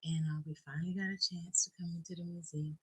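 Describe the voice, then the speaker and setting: A woman's voice: lowish voice, fairly even intonation, fast talker, a bit bright, husky. A chatty woman co-hosting a casual livestream, greeting viewers by name, recorded on a camera-mounted mic in a home toy museum room.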